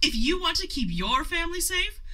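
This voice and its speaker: A woman's voice: extremely high pitch, big pitch swings, fairly quick, bright, forceful tone, full character voice. A woman playing an upbeat friend selling a product in a scripted radio commercial. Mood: perky, persuasive.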